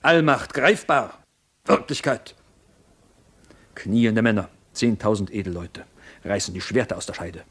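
An actor playing a fervent, scheming mentor in a theatrical radio drama, urgent, rhetorical and commanding. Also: male voice, lowish voice, lively intonation, unhurried, pauses often, a bit bright, slightly rough, full character voice. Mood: persuasive, exalted. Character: fervent, scheming mentor and jailer urging revolt.

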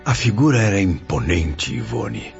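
Man acting a very deep, reverent Brazilian memoirist. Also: very animated, measured pace, gravelly, exaggerated voice. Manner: solemn, admiring. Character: reverent male spirit recounting his memories. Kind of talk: Brazilian radio drama acting. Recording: muffled audio with music.

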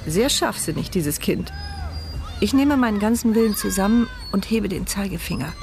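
Casting: actress for sardonic, reflective woman narrating a radio play in inner monologue, mid pitch, light music beneath. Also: animated, normal speed, a little mellow, lightly acted. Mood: wry. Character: bitter, sardonic paralysed mute woman narrating her inner thoughts. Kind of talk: radio drama first-person inner monologue.

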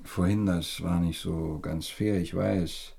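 A man's voice: deep voice, steady tone, slow pace, gravelly, warm tone, slightly rough, exaggerated voice. An actor playing a cocky, evasive disc jockey in his thirties, with laconic replies in a German radio play. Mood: sheepish, evasive. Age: 30s.

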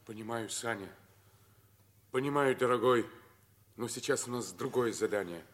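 A man's voice: medium pitch, normal speed, a bit bright, natural voice. An actor playing a loyal, sensible airman comrade in a 1950s radio drama. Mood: steady, dutiful. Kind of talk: theatrical 1950s radio play acting.

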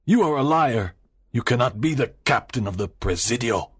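A man's voice: mid-range voice, lively intonation, normal speed, a little hiss or hum, natural voice. A man reading a storybook aloud, voicing an indignant older governor. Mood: stern. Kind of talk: graded reader audiobook.

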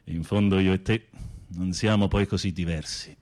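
A flamboyant jailed professor in a radio play, teasing and ironic, lowish voice that jumps all over. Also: male voice, huge pitch swings, slow pace, a little mellow, full character voice. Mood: ironic, coaxing. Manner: theatrical, teasing, arch. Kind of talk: radio drama acting.

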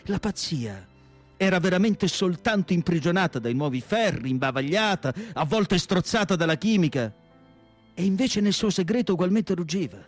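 A male actor playing a tormented asylum psychiatrist in a solemn, deliberate radio monologue with dramatic pauses. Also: high voice, animated, faint music behind. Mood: troubled, questioning. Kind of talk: dramatic literary monologue, theatrical radio acting.

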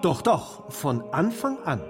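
An actor playing a shifty, greedy and defensive Dominican monk in a historical radio drama. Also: male voice, fairly high pitch, very animated, slow pace, music in the background.

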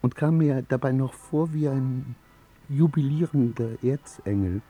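An actor in a German radio drama playing a wounded, vain husband haunted by shame, halting and intense. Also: male voice, mid-range voice, dark tone, breathy edge. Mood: anguished confiding.

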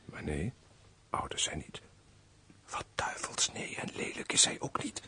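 Deep, husky courtly major. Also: male voice, lively intonation, fairly quick. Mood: muttered asides. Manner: formal, gallant, muttering asides. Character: courtly, wry military major, bored aristocrat. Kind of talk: radio drama acting.

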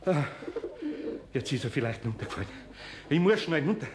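A man's voice: medium pitch, very animated, brisk, roomy sound, a little hiss or hum, close to an everyday voice. An actor playing a kindly, flustered older master craftsman with a Bavarian accent in a German children's radio play, fussing and repeating himself. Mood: frantic worry.

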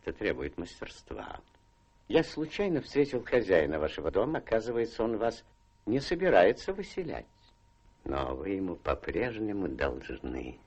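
An actor playing a lecherous, shrewd old aristocrat in a radio play, husky, courtly and insinuating as he savours his words. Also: fairly low pitch, wildly swooping pitch, normal speed, a little mellow, exaggerated voice. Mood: sly, knowing. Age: older. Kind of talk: Russian radio play acting.

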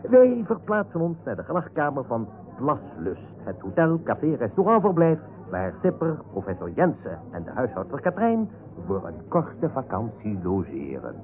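An actor playing a wry, chatty storyteller, narrating a comic radio serial in a husky voice with playful asides. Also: male voice, mid-range voice, wildly swooping pitch, moderate pace, a little hiss or hum. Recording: tinny narrow-band radio recording.

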